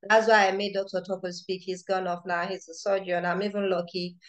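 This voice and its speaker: A woman hosting an online lecture over a video call, chatty and excitable, often repeating words as she starts sentences. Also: medium pitch, a little fast. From Nigeria. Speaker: lecture chair and chief speaker.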